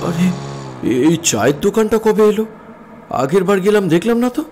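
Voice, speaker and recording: A man voicing a calm, reasoning older brother who is a newly posted forest ranger. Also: sits high, fairly quick, slight background noise. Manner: calm, reasoning, lightly teasing. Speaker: narrating his own thoughts while riding. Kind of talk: Bengali cartoon voice acting.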